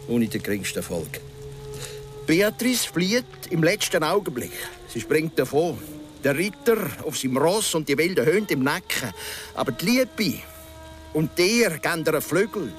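An actor playing a philosophising local guide and storyteller in a Swiss German radio drama, speaking in a deliberate, dramatic way. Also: male voice, a little high, fairly bright tone. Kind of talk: radio drama, telling a folk legend.

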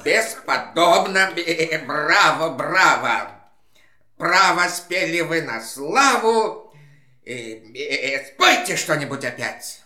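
A woman's voice: sits low, animated, takes it slowly, bright, forceful tone, echoey room, full character voice. A woman playing a vain, boisterous goat in a children's audio play, speaking verse with theatrical exclamations. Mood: flattering. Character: vain boisterous goat husband, animal guest at a party.

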